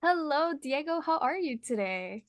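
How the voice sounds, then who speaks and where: A woman's voice: high-pitched, animated, moderate pace, dry recording, clean studio sound. A young adult woman hosting a podcast interview, chatty and bubbly, laughing often. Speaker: podcast host. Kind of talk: casual interview chat.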